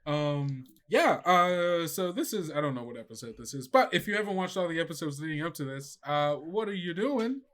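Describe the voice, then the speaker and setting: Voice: medium pitch, expressive, normal speed, hardly any pauses, a bit bright. A game master hosting casually and narrating an improvised story, with a playful, teasing manner. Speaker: game master and podcast host.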